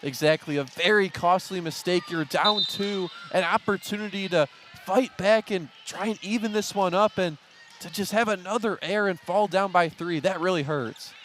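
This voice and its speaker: A man's voice: sits high, moderate pace, fairly bright tone, slight background noise. A man giving color commentary on live sports, with the venue audible behind him.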